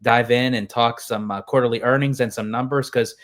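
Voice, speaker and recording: A man's voice: mid-range voice, steady tone, a little fast, fairly bright tone. A man co-hosting in a casual, conversational style. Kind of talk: casual show introduction.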